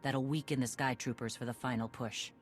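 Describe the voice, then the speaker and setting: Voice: mid-range voice, steady tone, brisk, soft background music, natural voice. An actor playing a tough war leader who speaks bluntly and in a businesslike way. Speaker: commander.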